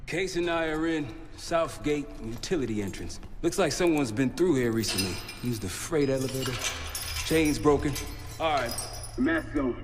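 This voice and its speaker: An actor playing a calm, loyal operative who leads missions in a video game, talking in a casual, measured way. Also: male voice, mid-range voice, background noise, natural voice. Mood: focused. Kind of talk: video game cutscene dialogue.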